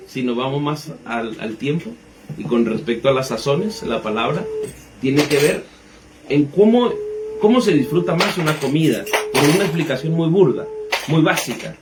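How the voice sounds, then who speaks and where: A man's voice: fairly high pitch, background noise. A man in his thirties, a pastor, teaching the Bible conversationally, explaining with rhetorical questions and everyday examples. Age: 30s.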